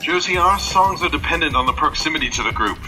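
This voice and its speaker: High-voiced male actor as commanding alien captain, theatrical swooping delivery, audio drama, music underneath. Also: expressive, fast talker, sharp tone, rough recording. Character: bossy alien captain posing as a British pop frontman.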